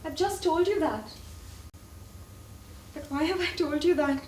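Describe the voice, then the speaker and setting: An actress in a stage play playing a proud, wounded former sweetheart, speaking in a defensive, guarded and emphatic way. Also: female voice, high voice, a little slow, darkish tone. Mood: defensive, confessing. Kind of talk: theatrical stage play acting. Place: theatre stage, recorded from far off. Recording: distant echoey stage recording, faint noise.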